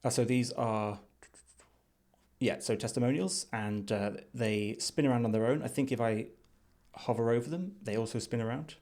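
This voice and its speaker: A man giving unscripted screen-share commentary as a reviewer, thinking aloud in a measured, thoughtful way. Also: mid-range voice, darkish tone. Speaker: design reviewer.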